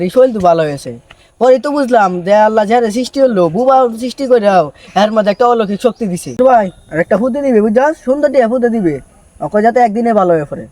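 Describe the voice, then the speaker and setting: Guy doing a scheming hustler older brother, super high pitched, talks fast, keeps urging and repeating, comedy skit. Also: a little mellow. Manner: urgent, repetitive salesman patter. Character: pushy, scheming elder brother hustling a fake faith healer. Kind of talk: amateur comedy skit acting.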